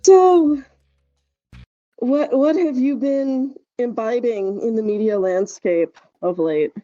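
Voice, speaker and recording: A woman's voice: a little high, slow pace, warm tone, no echo, lo-fi sound, faint music behind. A woman co-hosting a casual podcast, speaking with hesitant, understated, dry reactions. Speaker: podcast co-host.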